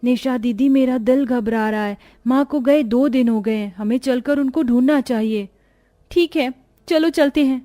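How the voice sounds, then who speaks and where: A woman's voice: sits high, normal speed, warm tone, natural voice. A woman voicing a caring, protective orphan girl, an eldest sister in a cartoon story. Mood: worried.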